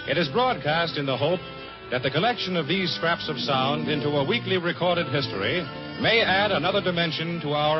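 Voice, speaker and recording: A man with an American accent announcing a radio program in a grand, formal 1950s style. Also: fairly high pitch. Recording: vintage radio broadcast, dull, loud music behind.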